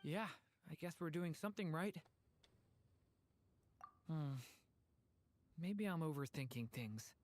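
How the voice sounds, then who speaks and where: A man's voice: fairly high pitch, animated, takes it slowly, pauses often, slight background noise. A man voicing an earnest, worried young dragon boy in human form, hesitant, in a video game. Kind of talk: video game character dialogue.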